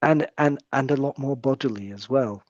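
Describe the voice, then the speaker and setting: A man's voice: medium pitch, moderate pace, dry recording, highs cut off. A British man speaking as a psychiatrist guest in an interview conversation, explaining his points in a thoughtful way and often restarting his phrases.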